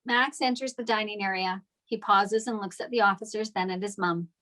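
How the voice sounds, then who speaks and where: A woman's voice: mid-range voice, fairly even intonation, fairly quick, pushed and bright. A woman giving scripted narration, reading out stage directions at a table read.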